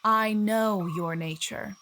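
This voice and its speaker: An actress playing a proud, wise giant talking bird who speaks formally and cryptically in an audio drama, disdainful of men. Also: female voice, mid-range voice, slow, a bit bright, slight background noise.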